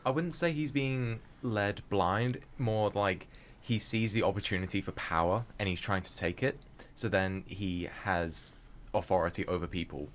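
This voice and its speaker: A man's voice: fairly low pitch, normal speed, a little hiss or hum. A young man, a drama student answering casually in an interview. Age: young adult.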